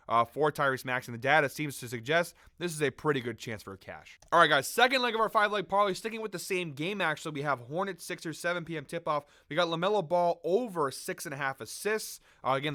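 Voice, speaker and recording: Young male betting host with a fading voice, brisk and number-heavy, slightly high-pitched, close-miked in a dead room. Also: pushed and bright, dry recording. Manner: brisk, number-heavy delivery with fillers and self-corrections. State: sick and losing his voice. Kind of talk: fast spoken sports betting breakdown.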